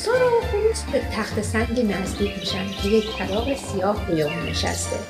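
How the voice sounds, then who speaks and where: A woman's voice: mid-range voice, huge pitch swings, vocal fry. A woman playing the storyteller, giving scripted narration of a children's radio adventure. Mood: vivid storytelling. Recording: loud music and birdsong behind.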